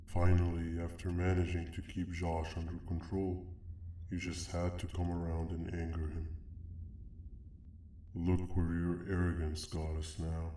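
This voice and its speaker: Very deep monotone pompous monster. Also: male voice, measured pace, a little hiss or hum. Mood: bitter, weary. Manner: stiff, pedantic, deadpan. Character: stern, bitter, pompous monster, cold and formal. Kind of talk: video game character dialogue. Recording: roomy, distant, faint music behind.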